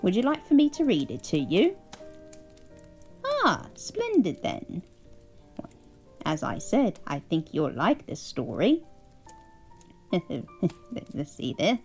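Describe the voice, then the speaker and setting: A prim, chuckling English grandmother, as a woman puts her on, settles in to read a bedtime storybook. She speaks slowly, with a lilting, swooping tone. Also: medium pitch, huge pitch swings, soft background music, heavily acted. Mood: doting. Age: older. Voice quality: sing-song, gently laughing, prim.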